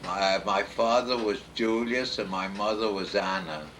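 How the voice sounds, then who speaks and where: An older man in his eighties, answering family questions in a slow, halting, deliberate way at home. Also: fairly low pitch, fairly even intonation, a bit bright, slight background noise. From United States, born in Poland. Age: 80s. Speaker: interviewee, family elder. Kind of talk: home video family interview. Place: home in a retirement community.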